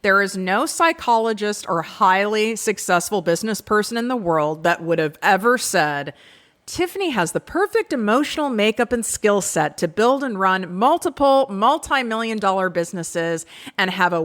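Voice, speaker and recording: A woman hosting her own podcast, talking frankly and with self-deprecating humor in an energetic solo monologue. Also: medium pitch, moderate pace. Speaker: podcast host.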